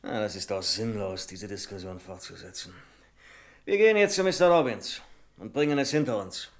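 A man's voice: on the low side, normal speed, noisy background, close to an everyday voice. A man acting in a German radio play as a stiff, blunt senior bank officer, formal and firm. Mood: indignant, firm.

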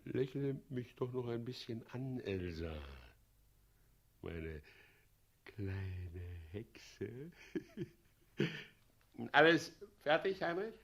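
An actor playing a gloating, self-important usurper president in a 1960s radio play, pompous and commanding with a false sweetness. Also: male voice, mid-range voice, big pitch swings, drawn-out words, pauses often, fairly bright tone, heavily acted. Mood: falsely sweet, bossy.

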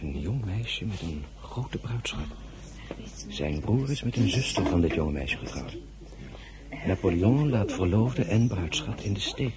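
Blunt gallant general, expressive pitch movement, period radio drama, busy chatter in background. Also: male voice, a little slow. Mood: gallant, probing. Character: gallant, blunt French general, distracted and smitten.

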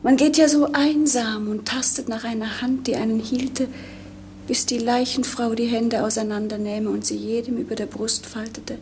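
An actress playing a melancholy, dreamy young princess in a 1950s German radio play, with lyrical, pensive delivery. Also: female voice, fairly high pitch, fairly even intonation, moderate pace, a little mellow, close to an everyday voice. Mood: anguished, pleading. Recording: noisy 1950s radio recording.

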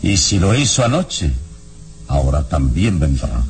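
An actor playing a calm, wise adventurer hero in a radio serial, speaking in a measured, solemn and reassuring way. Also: male voice, sits low, slight background noise, heavily acted. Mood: grave, thoughtful. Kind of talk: dramatic radio serial acting.

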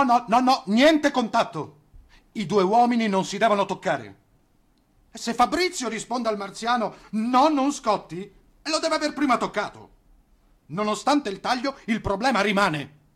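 A man's voice: extremely high pitch, full character voice. An actor playing a scandalized, officious male censor on the telephone in a radio play, indignant and peremptory. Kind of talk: theatrical radio play acting.

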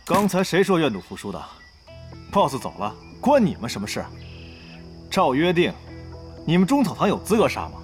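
A sharp-tongued player in a game-world radio drama. His fairly high voice is lively and mocking, with faint music behind. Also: moderate pace, pauses often. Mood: challenging, mocking. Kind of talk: Chinese audio drama acting.

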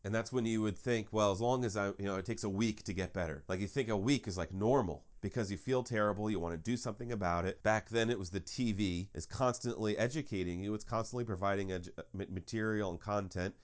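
A man's voice: fairly low pitch, fast talker, few pauses, lo-fi sound. A man hosting his podcast alone, speaking with sinus congestion in an earnest, repetitive explaining manner. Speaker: podcast host. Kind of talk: solo podcast monologue.

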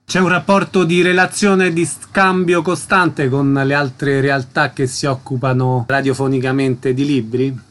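A man's voice: medium pitch, fairly even intonation, a little slow, hardly any pauses, fairly bright tone. A man hosting a radio interview, announcing events and putting questions to guests.

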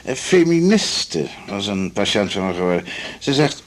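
Older physician, radio drama. Low register, smug, old-fashioned, condescending, stalls on "eh". Slight background noise. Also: male voice, big pitch swings, normal speed. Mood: indignant. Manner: pompous, hesitant with many ehs. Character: smug, conservative, patronizing older male doctor. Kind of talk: 1980s Dutch radio drama acting.

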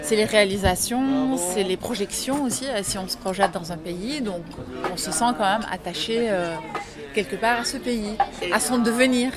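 A woman from Lebanon, an actress and drama teacher, answering interview questions in a relaxed, thoughtful way with many small hesitations. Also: mid-range voice, normal speed, over music. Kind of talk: casual interview in a café. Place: busy café or restaurant. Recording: noisy crowded café.

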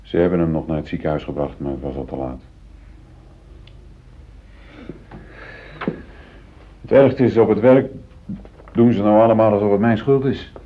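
Actor playing deep-voiced, defensive middle-aged foreman, muffled radio play. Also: fast talker, a little hiss or hum, close to an everyday voice. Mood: defensive, troubled. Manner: self-justifying, earnest. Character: newly promoted middle-aged foreman, defensive, conservative, uneasy. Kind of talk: Dutch radio play acting. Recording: muffled phone-like old radio recording.

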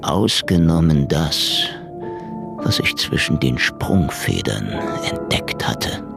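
An actor playing a world-weary, middle-aged detective in a noir radio drama, laconic, wry and brooding, with a gravelly voice. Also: male voice, rumbling low, very animated, slow, full character voice. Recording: music under the voice.

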